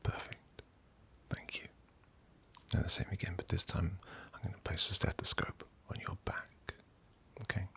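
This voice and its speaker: Whispering low-voiced British man, ASMR. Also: little pitch movement. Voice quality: breathy, mostly whispered. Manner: gentle, reassuring, unhurried. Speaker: ASMR performer playing a doctor. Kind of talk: soft-spoken ASMR medical roleplay.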